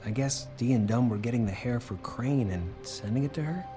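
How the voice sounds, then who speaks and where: An actor playing a timid, eager-to-please employee in a noir video game, hesitant and deferential. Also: male voice, medium pitch, a little fast, music in the background, natural voice. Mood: nervous, helpful.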